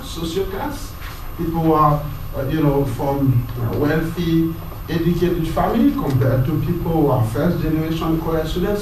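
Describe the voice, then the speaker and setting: Male lecturer, high voice, halting and repetitive delivery, far from the mic in a very echoey room, faint room noise. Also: darkish tone. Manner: halting, repeats words while searching. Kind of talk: university lecture.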